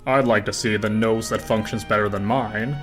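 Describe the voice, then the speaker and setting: A stuffy old vacuum cleaner acted by a man speaks in a fairly low, deliberate voice. It sounds proud and pompous, in a dramatic storybook reading over music. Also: fairly even intonation, natural voice. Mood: proud, affronted. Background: orchestral film score.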